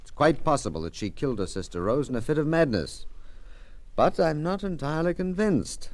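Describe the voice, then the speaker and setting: An actor playing a shrewd police detective in old radio mystery style, measured and authoritative. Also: male voice, a little high, sing-song, dry recording. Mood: probing, firm. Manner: measured, deliberate, authoritative questioning. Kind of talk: 1940s radio mystery acting.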